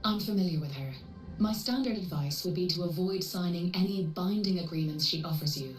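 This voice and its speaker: A woman playing a composed female AI handler in a video game, speaking in a measured, formal and clinical way. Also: on the low side, echoey room, far from the mic, faint music behind, lightly acted, rough recording. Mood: dry, formal. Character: composed female AI handler briefing mercenary runners. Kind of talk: scripted video game cutscene dialogue.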